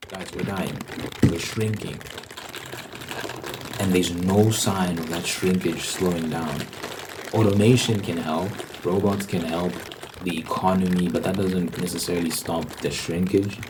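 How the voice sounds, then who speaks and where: A man's voice: low voice, steady tone, a little slow, noisy background. A man giving a rambling ASMR monologue as a video host, emphatically repeating phrases to drive his points home.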